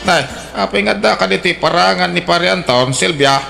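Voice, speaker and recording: An actor in an Ilocano radio drama playing a chatty, scheming old father whose voice leaps up when he gets excited. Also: moderate pace, creaky, soft background music, heavily acted. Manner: excitable, jumps high in pitch.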